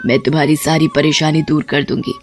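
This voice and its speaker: A woman voicing a witch ghost, gruff but tender underneath, in an animated story. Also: deep voice, brisk, faint music behind, close to an everyday voice. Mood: reassuring. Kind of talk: animated Hindi horror-moral story.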